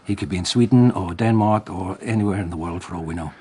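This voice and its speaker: Husky, weary police detective. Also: male voice, low voice, quick pace, mellow. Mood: weary, resigned. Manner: matter-of-fact, resigned. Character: weary, dry provincial Swedish police detective, male. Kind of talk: radio drama acting.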